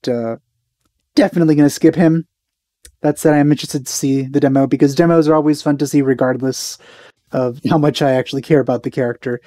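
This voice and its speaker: A man in his thirties giving casual, low-key reaction commentary as a video host, close on the mic. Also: mellow, no room sound. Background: faint music.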